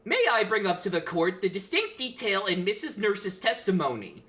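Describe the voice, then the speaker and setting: A man's voice: high voice, wildly swooping pitch, moderate pace, fairly bright tone, pitched above the performer's own voice, full character voice. A man playing a hapless, brazen defendant who bluffs through a courtroom parody skit. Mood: bold, excited. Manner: flustered, bluffing. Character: hapless, brazen defendant arguing own case.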